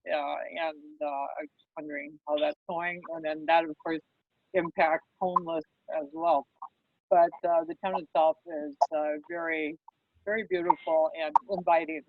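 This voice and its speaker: A man giving casual, unscripted remarks in a hesitant, halting way as a participant in a meeting. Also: on the high side, little pitch movement, slight background noise. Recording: dull, lo-fi online call audio.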